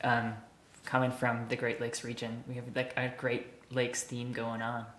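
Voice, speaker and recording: A man's voice: medium pitch, monotone, moderate pace, fairly bright tone. Thoughtful man in an echoey room. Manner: thoughtful, hesitant, many fillers. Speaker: interviewer, host. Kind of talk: conversational interview.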